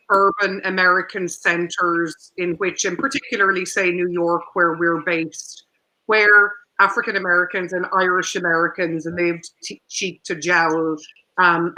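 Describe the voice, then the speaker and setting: A woman moderating a panel discussion, putting questions in measured, formal, articulate phrasing. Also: on the low side, pushed and bright, poor audio.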